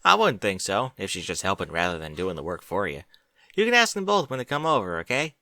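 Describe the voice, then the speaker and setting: A man's voice: low-pitched, wildly swooping pitch, a little fast, pushed and bright, dry recording, full character voice. A man doing a teasing farm-pony big sister. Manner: teasing, down-to-earth. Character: practical older-sister farm pony mare.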